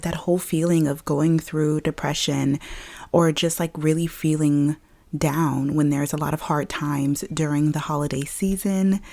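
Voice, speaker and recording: A woman hosting a podcast in a casual, chatty monologue, her voice slightly raspy from a scratchy throat, laughing often between thoughts. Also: low-pitched, warm tone. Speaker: podcast host.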